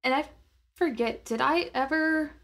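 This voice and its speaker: A woman takes part in a tabletop game as a player. Her voice sits fairly high, and she speaks in a casual, conversational style as she asks questions and describes actions. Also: measured pace, a bit bright.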